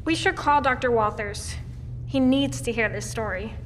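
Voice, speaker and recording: Woman as high-voiced, earnest young daughter. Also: normal speed, natural voice. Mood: insistent. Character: earnest daughter of the captain, gently insistent. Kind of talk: audio drama acting. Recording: reverberant room with faint noise.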